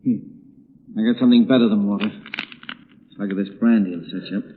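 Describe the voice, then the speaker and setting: An actor playing a jumpy, menacing underworld henchman with rough, slangy tough-guy talk in an old-time radio drama. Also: on the low side, fairly even intonation, moderate pace, a little hiss or hum, natural voice. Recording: thin, phone-like old radio recording.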